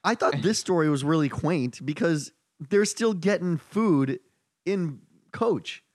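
A man co-hosting a comedy podcast, reading out news stories in a jokey, animated way. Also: on the high side, takes it slowly, no echo, clean studio sound. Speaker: podcast host. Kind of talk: casual comedy chat.

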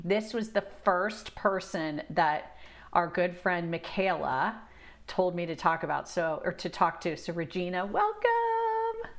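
A woman's voice: on the low side, a bit bright. A woman hosting a podcast, introducing her guest and asking questions in a bubbly, familiar way. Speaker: podcast host. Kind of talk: casual interview hosting.

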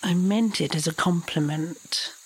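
A woman's voice: on the low side, measured pace, husky. A woman playing a poised, self-assured female angel in a fantasy audio drama, formal, firm and coolly wry. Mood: coolly amused.

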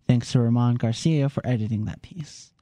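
A man's voice: mid-range voice, normal speed, mellow, no echo. A man hosting youth radio, introducing pieces and guests in a friendly, plain way.

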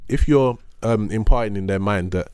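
A man's voice: lowish voice, moderate pace, smooth, dry recording, studio quality. A young man from the UK, chatting casually and laughing often through slangy stories. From United Kingdom. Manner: laughs a lot, animated slang-heavy storytelling. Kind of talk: casual podcast chat.

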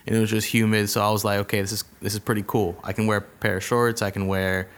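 A man's voice: fairly low pitch, level tone, quick pace, fairly bright tone. A man co-hosting a casual podcast, talking in a laid-back way with dry remarks and slang. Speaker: podcast co-host.

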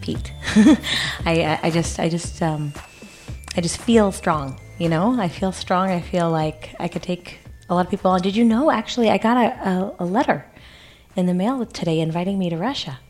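A woman's voice: on the low side, moderate pace, a little mellow, close to an everyday voice. A woman playing an eager, modest young champion in improvised radio theater, speaking in a hesitant, earnest, gushing way. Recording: music playing over the voice.

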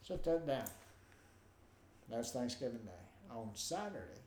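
A man's voice: mid-range voice, unhurried, slight background noise. Older nasal-voiced man telling stories. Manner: animated, acts out quoted voices. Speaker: guest. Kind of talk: storytelling in a conversation. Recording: roomy with faint ambience.

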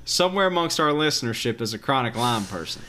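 A man co-hosting a podcast, joking in a wry, deadpan way. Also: mid-range voice, quick pace, a little hiss or hum. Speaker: podcast co-host. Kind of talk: casual chat.

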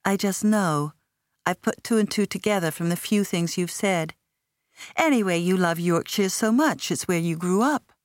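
A woman's voice: fairly low pitch, moderate pace, dry recording, clean studio sound, natural voice. A woman narrator voicing a perceptive, direct businesswoman in her forties for an audiobook novel. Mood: probing.

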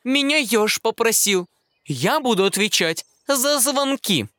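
A bossy little magpie chatters away, lecturing everyone around her, as a man puts her on in a storybook reading. The voice sits well up high and hops lively from note to note. The recording is close and dry. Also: slow, no echo, exaggerated voice. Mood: important. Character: chattering self-important female magpie, school bell-keeper. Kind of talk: storybook character voice.

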